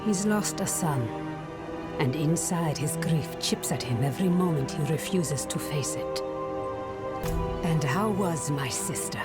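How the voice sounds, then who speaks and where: Proud, stubborn fantasy game witch: slow, measured, cryptic delivery over prominent music. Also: deep voice, expressive. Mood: grave. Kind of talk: dramatic fantasy game dialogue. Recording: dramatic music behind dialogue.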